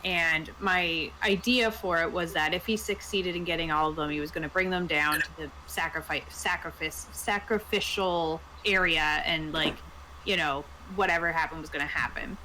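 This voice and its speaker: A woman's voice: low voice, fairly even intonation, moderate pace, sharp tone. Woman telling a casual story, noisy background. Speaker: tabletop game master and podcast panelist. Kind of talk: casual anecdotal chat.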